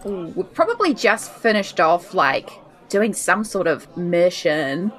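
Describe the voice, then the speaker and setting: A woman's voice: lowish voice, sing-song, slow. A woman with a New Zealand accent chatting casually as a guest player on a tabletop roleplaying podcast. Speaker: guest player and chat moderator. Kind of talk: casual chat.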